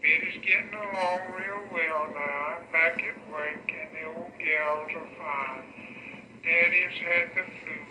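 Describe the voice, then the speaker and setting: A woman's voice: sits low, fairly even intonation, slow. An older woman speaking casually and affectionately in a homely way, as in a taped letter to her family. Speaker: grandmother recording a tape letter to family. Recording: old home reel tape, echoey, clearly noisy.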